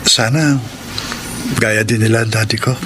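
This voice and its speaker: A man's voice: on the low side, steady tone, measured pace, noisy background, lightly acted. A man playing a polite, ardent young Filipino college suitor in a radio drama. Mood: earnest. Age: young adult.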